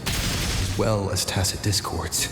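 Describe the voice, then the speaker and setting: Calm, measured young male adventurer for a game, serious, low-pitched, over prominent score. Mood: serious, focused. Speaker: video game character. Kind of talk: game voice acting. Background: game music.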